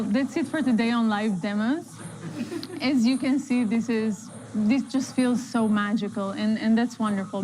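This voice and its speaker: A woman's voice: medium pitch, warm tone, slight background noise, rough recording. A woman presenting a live product demo in a conversational style, as its host. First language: Italian speaker. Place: live staged demo before an online audience.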